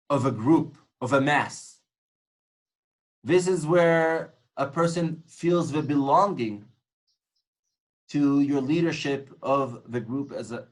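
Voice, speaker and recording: A man's voice: fairly high pitch, poor audio. Man lecturing, earnest and reflective. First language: Hebrew. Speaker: tour guide instructor. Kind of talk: informal lecture on guiding.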